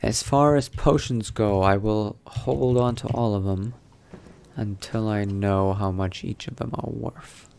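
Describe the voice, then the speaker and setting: A man playing a newly turned vampire in a game, thinking aloud in character in a musing, unhurried manner with trailing phrases. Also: on the low side, steady tone, vocal fry, darkish tone. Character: newly turned vampire exploring new powers, musing adventurer. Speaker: roleplaying game player. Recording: noticeable background noise.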